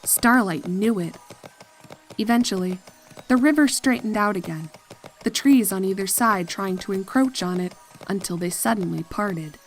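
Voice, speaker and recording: A woman reading a scripted horror story as narrator, in a measured and deliberate dramatic style. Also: mid-range voice, a little hiss or hum, rough recording. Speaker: fan fiction narrator.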